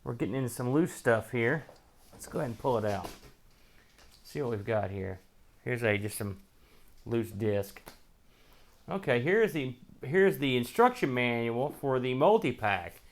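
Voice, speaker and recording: Gravelly American man, thinking aloud. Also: mid-range voice, animated, measured pace, pauses often. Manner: rambling, thinking aloud as he handles items. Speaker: host of a retro computing show. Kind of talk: casual unboxing commentary.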